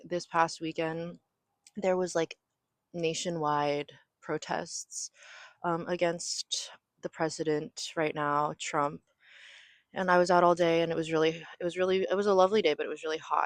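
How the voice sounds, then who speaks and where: A woman's voice: low voice, dry recording. A young woman from Southern California chatting casually on her own podcast, rambling with lots of "like" and "um". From raised in Southern California. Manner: rambling, filler-heavy, frequent "like" and "um". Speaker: podcast host. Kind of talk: casual solo chat. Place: home near an airport.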